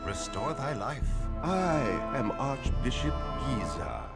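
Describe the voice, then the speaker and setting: An older actor playing a pious archbishop in a video game, speaking in formal archaic English. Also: male voice, medium pitch, sing-song, slow pace. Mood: benevolent. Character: elderly male archbishop, warm and pious. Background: game music.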